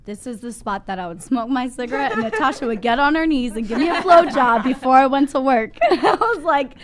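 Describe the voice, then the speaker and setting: A woman's voice: sits high, lively intonation, brisk. A woman guest telling a story in casual chat, acting out quotes.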